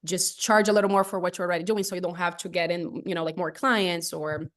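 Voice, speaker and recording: A woman's voice: on the low side, fairly even intonation, fast. A woman in her thirties from Brazil with a Brazilian accent, a native Portuguese speaker, chatting casually as an interviewer. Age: 30s.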